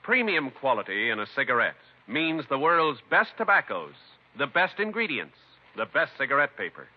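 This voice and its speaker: A man's voice: medium pitch, big pitch swings, moderate pace. A man reading a scripted 1950s radio commercial with emphatic salesmanship. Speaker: commercial announcer. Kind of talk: 1950s radio advertising read. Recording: dull vintage radio recording.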